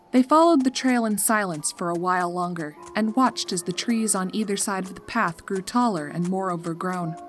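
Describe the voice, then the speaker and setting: A woman narrating a scripted horror story in a measured, atmospheric storytelling manner. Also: on the low side.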